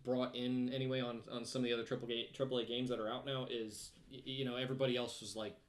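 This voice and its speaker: A man speaks as a podcast co-host in a very quick, mid-pitched voice. He explains technical details informally, as part of a casual discussion. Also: little pitch movement, slight background noise. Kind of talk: casual explanatory discussion of gaming and tech news.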